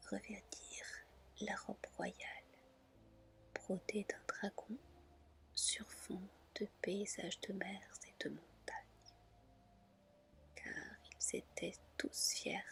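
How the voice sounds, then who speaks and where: A woman reading tales in a soft, breathy, soothing whisper, as a gentle ASMR storyteller. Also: mid-range voice. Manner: slow, gentle, soothing delivery.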